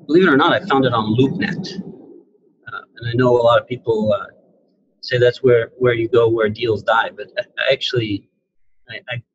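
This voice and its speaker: A man in his forties on a video call, a real estate investor telling his story in a relaxed, rambling way with many fillers. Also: mid-range voice. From Syria, raised in New York and New Jersey. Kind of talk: casual interview on a video call. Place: indoor room, on a video call. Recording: dull lo-fi video call audio.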